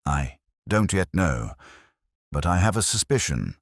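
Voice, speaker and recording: A man voicing a brooding, analytical gentleman detective in deliberate, declamatory speech for an audiobook. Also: low voice, big pitch swings, dry recording, clean studio sound, heavily acted.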